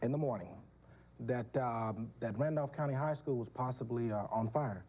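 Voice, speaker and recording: A man's voice: mid-range voice, moderate pace, a little mellow. An American man recounting his experience as a news photographer in a television interview. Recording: dull, echoing broadcast copy with clear noise.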